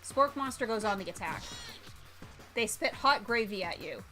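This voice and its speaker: A woman streamer doing a playful let's-play, reading game text aloud and reacting with animated exclamations. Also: medium pitch, normal speed, music in the background.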